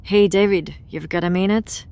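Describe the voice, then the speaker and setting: Audio drama: actress as earnest, secretive friend, caring and reserved, smooth lowish voice. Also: female voice, steady tone, moderate pace. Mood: apologetic, earnest. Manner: earnest, gentle wording. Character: reserved, apologetic woman friend, secretive but warm.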